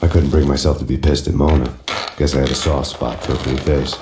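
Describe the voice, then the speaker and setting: An actor playing a brooding, hard-boiled man narrating a crime game in a weary deadpan. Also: rumbling low, fast, noisy background. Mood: grim, wry. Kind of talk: scripted noir narration. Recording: roomy reverb, music behind.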